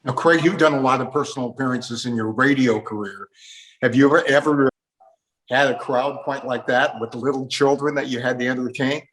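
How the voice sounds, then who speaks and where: A man's voice: mid-range voice, fairly quick, fairly bright tone, low-quality recording. A man hosting a casual interview, reminiscing in an earnest, nostalgic way. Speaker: interview host.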